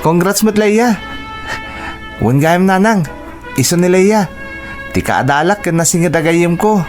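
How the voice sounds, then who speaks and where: A man's voice: sits high, expressive, unhurried, darkish tone, faint music behind. A man playing a shy, polite, hesitant young graduate from a poor family in an Ilocano radio drama, with an Ilocano accent. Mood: bashful, respectful.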